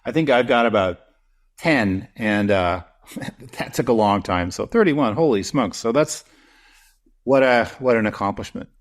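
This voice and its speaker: A man's voice: mid-range voice, expressive, a little slow. Canadian man hosting, easygoing and eager. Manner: enthusiastic, informal. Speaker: podcast host. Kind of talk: podcast interview.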